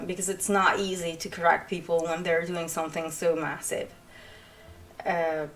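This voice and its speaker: A woman's voice: fairly low pitch, a bit bright. A young woman with an Italian accent, chatting casually and rambling through an introduction to her channel, with many fillers and restarts. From Italy. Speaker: writer and streamer.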